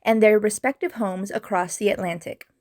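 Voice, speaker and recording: Student reporter, dry close voice-over. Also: female voice, medium pitch, fairly even intonation, moderate pace, darkish tone, dry recording. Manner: scripted reading. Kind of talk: news voice-over.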